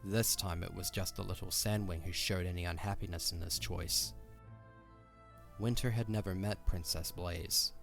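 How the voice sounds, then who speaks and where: Deep-voiced male story narrator. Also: fairly even intonation, normal speed, vocal fry, fairly bright tone, faint music behind. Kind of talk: scripted fantasy story narration.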